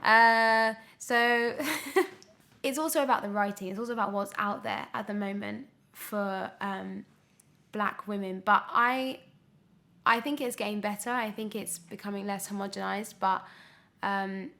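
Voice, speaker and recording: Young woman, creaky voice, casual interview talk, hesitant, reflective, thinking aloud. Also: medium pitch, steady tone, measured pace, bright, forceful tone. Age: young adult. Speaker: podcast guest, actress. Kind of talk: candid casual interview conversation.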